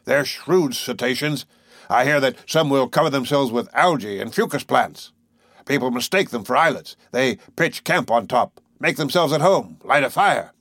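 A man voicing an excitable, boastful Canadian whale harpooner in an audiobook, blunt and emphatic. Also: mid-range voice, moderate pace, dry recording, studio quality, voice pushed up. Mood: earnest, boastful. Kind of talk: audiobook character voice.

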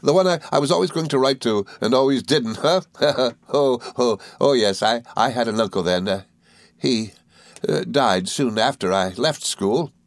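A man voicing a cheerful, good-natured young gentleman law student in an audiobook, eager and flustered, with little stammering hesitations. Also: mid-range voice, moderate pace, no echo, pitched above the performer's own voice, natural voice. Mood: merry, nostalgic. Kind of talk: audiobook character dialogue.

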